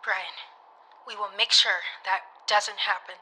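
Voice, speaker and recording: A woman's voice: mid-range voice, fairly even intonation, measured pace, pushed and bright, rough recording. Woman doing a teen girl detective in an audio drama, earnest and nosy, determined. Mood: urgent, reassuring. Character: determined teenage girl, aspiring private eye and school reporter. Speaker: narrator and lead actor. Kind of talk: scripted audio drama, first-person narration and dialogue.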